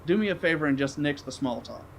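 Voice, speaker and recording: A man plays a crude, arrogant rich passenger, brash and profane in every line. His voice sits high for a man. He sounds cocky and impatient. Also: animated, fast talker, creaky, a little hiss or hum. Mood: surly. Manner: brash and profane, then irritable.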